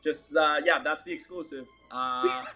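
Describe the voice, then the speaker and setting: Casting: man, native Portuguese speaker, bright and forward tone, loose rambling delivery with fillers. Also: fairly high pitch, steady tone, measured pace, soft background music. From Boston area, USA. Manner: rambling, filler-heavy, laughs often. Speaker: actor, interview guest. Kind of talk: casual interview chat.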